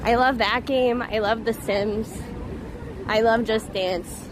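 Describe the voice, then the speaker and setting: Woman streamer, young adult, high voice, chatty, reads chat aloud as she walks, slightly strained voice; loud street traffic behind. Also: steady tone, takes it slowly. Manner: chatty, reads and answers viewer comments aloud. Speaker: livestream host. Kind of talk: casual live stream chat while walking. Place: outdoors on a busy city street. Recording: loud outdoor street noise.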